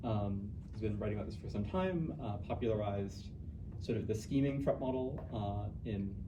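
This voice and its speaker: A man hosting a talk, introducing a speaker informally and off the cuff, halting and restarting his phrases. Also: lowish voice. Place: indoor room at an in-house talk. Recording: echoey room, distant mic, noisy background.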